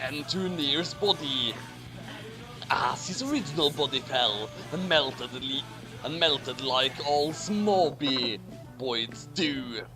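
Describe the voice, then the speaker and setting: A man reading a story aloud theatrically and chatting casually with friends. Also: fairly high pitch. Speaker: co-host reading fan fiction aloud.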